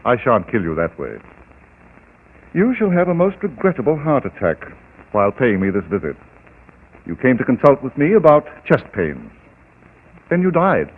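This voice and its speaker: Fake-doctor villain; suave, husky, lo-fi old broadcast. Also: male voice, medium pitch, wildly swooping pitch, moderate pace, pauses often, full character voice. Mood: menacing. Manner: suave, genteel, coldly polite. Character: scheming fake doctor, smooth then menacing villain. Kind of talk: 1940s radio acting. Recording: tinny old radio broadcast.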